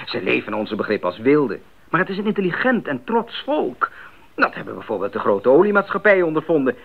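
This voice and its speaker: An actor playing a determined, curious young male missionary in a 1980s radio drama, with earnest, clear phrasing. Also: on the high side. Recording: narrow-band 1980s radio recording, faint noise.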